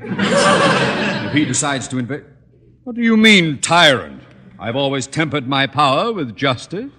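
An actor playing a pompous, exasperated middle-aged school principal in an old radio sitcom, with a sputtering delivery. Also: male voice, fairly high pitch, huge pitch swings, unhurried, pushed and bright, husky, heavily acted. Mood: indignant. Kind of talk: 1950s radio sitcom acting. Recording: vintage radio recording, noisy, reverberant.

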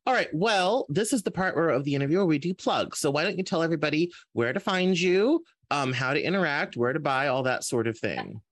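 A man's voice: fairly high pitch, animated, a little fast, few pauses, a bit bright, dry recording. A man chatting casually as a podcast interview host, often repeating and rephrasing his words as he feels out a thought. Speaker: interview host and fiction author.

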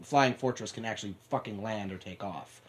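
A young man from upstate New York co-hosting a podcast, talking excitably, laughing often and swearing. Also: fairly low pitch, brisk. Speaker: podcast co-host. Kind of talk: casual chat.

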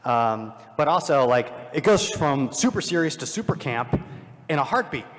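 A man's voice: a little high, very animated, moderate pace. Man on a panel, very echoey hall. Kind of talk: live panel discussion. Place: large convention panel room with microphones. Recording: very reverberant hall, live panel.

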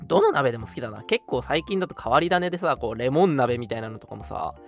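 A man co-hosting a radio show in casual chat, often chiming in with quick agreement. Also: mid-range voice, very animated, vocal fry. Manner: frequent quick agreeing backchannels. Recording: music playing under the talk. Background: background music.

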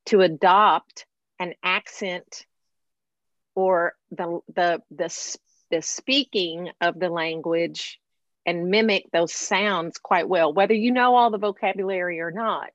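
A woman's voice: lowish voice, takes it slowly, no echo. Chatty woman on a dull, dry remote mic. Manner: animated, chatty, laughs often. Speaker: podcast host, professional classical singer. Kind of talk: casual interview chat.

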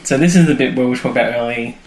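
A man's voice: mid-range voice, very fast, background noise. A man co-hosting a podcast, retelling television episodes in a casual, jokey, informal way. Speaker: podcast co-host. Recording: echoey room, faint music behind.

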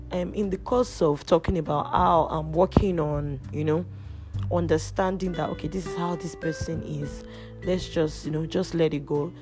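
Low-voiced woman podcaster, loud and lively. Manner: loud, animated storytelling with restarts. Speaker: podcast host. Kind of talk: casual personal chat. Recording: music playing underneath. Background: guitar music.

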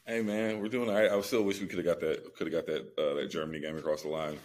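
A man's voice: deep voice, flat delivery, fast talker, a little mellow. A man co-hosting a podcast, chatting casually about sports in slangy speech with emphatic repetition.

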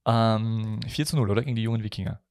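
Ein Mann mit österreichischem Akzent, der als Podcast-Moderator locker über Fußball plaudert und dabei stockend nach Worten sucht. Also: male voice, on the low side, darkish tone, no echo, clean studio sound. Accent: Austrian. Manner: halting, searching for words, many hesitations. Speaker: podcast host. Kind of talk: casual football podcast chat.

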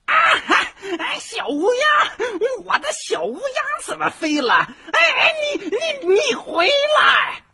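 A woman's voice: extremely high pitch, huge pitch swings, slow pace, creaky, few pauses, raised voice, over a noisy crowd, full character voice. A woman voicing a sly, greedy fox in a children's radio play, gloating and given to mocking laughter. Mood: alarmed shouting.

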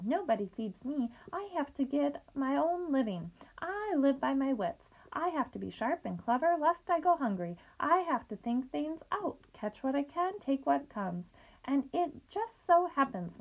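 A woman voicing a bold, clever female spider in a storybook reading, speaking in a brisk, high, matter-of-fact way. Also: sing-song, phone-quality sound.

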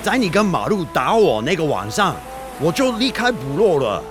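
An actor playing an earnest Dutch missionary priest in a radio drama, speaking with English phrases mixed in, urgent and pleading. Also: male voice, a little high, sing-song, normal speed. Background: music.

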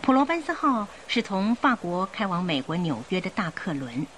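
A woman narrating a radio drama in an expressive, suspenseful storytelling style. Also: mid-range voice, moderate pace, a little hiss or hum. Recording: music bed behind the voice. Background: music.

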